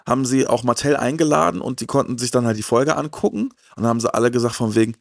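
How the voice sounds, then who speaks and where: A man's voice: mid-range voice, fast talker, creaky, no echo, clean studio sound. A middle-aged man chatting casually and enthusiastically as host, talkative and repeating words for emphasis. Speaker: show host. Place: indoor media collection room, seated on sofa. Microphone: handheld microphone close to mouth.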